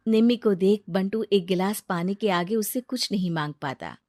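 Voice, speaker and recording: A woman narrating a scripted moral story in an even storytelling manner. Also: medium pitch, normal speed, dry recording, studio quality.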